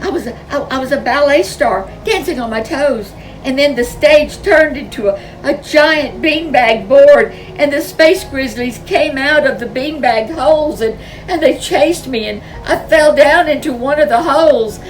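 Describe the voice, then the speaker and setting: A woman's voice: sits high, a little slow, few pauses, far from the mic, faint music behind, voice pushed up, poor audio. A woman voicing a frightened little bear cub girl in a storybook reading, stammering and childlike. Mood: upset, shaken.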